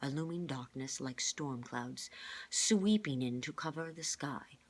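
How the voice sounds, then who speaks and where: A woman voicing a grave, gentle older male mentor with a measured, formal way of speaking, reading a children's storybook aloud. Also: a bass voice. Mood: grave, ominous. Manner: measured, formal, careful wording.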